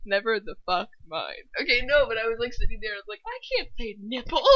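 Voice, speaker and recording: A woman's voice: a little high, big pitch swings, moderate pace, a bit bright, no echo, muffled recording. A young adult woman reading fan fiction aloud casually, flustered and giggly, breaking off into sweary asides.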